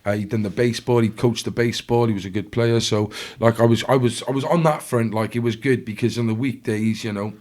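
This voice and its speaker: A man's voice: mid-range voice, fairly even intonation, a little fast, few pauses, a little mellow. A young man from Wales with a South Welsh accent, a podcast guest telling his life story in a loose, rambling way full of restarts and fillers. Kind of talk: casual storytelling chat.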